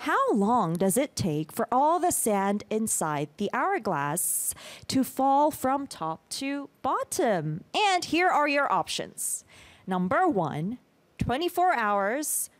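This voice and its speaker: A woman's voice: on the high side, sing-song, slow pace. A woman hosting a casual quiz chat in an upbeat, encouraging way, laughing often. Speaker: quiz host.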